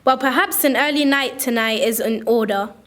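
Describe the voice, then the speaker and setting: A woman's voice: a little high, moderate pace, fairly bright tone, lightly acted. A female performer playing a stern, sarcastic primary school teacher in a radio play. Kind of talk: scripted radio play acting.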